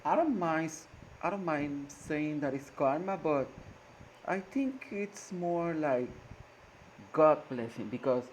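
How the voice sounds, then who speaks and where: A man's voice: fairly high pitch, takes it slowly, a little hiss or hum, low-quality recording. A man hosting a reaction video, talking earnestly and hesitantly, searching for words with many fillers and restarts. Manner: earnest, admiring. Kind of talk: casual reaction commentary.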